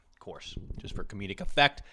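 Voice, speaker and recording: Sarcastic, profane low-voiced man. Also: very animated, moderate pace, sharp tone, a little hiss or hum. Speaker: podcast host. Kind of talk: crude comedic rant, reading reviews aloud.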